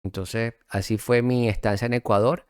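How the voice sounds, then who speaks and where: A middle-aged man with a Venezuelan accent, telling his story as a guest in a casual interview, relaxed and reflective, with many fillers and restarts. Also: medium pitch, normal speed, clean tone, no echo, studio quality.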